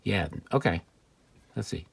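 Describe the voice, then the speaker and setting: A man giving a casual, off-the-cuff gadget demo, wry and excitable, talking to himself as he tinkers. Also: fairly low pitch, a little slow, vocal fry, very noisy. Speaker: vintage electronics seller demonstrating a device. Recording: music playing behind the voice. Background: music from the player being tested.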